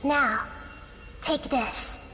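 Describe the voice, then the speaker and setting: A young goddess with a high voice. She is gentle, solemn and measured, speaking formally in video game style over faint music. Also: heavily acted.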